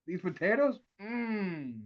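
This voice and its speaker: A cool, cocky street charmer played by a man in a fairly high male voice. He is flirty, slangy and laid-back, in a comedy table read with slightly dull audio. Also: sing-song, slow pace, exaggerated voice. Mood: cool, easygoing.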